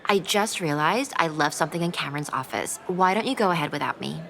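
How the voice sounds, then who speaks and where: An actress playing a self-assured, guarded culture curator in a naturalistic audio drama. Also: female voice, low voice, animated, quick pace, vocal fry, a bit bright, full character voice. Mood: brisk, cordial.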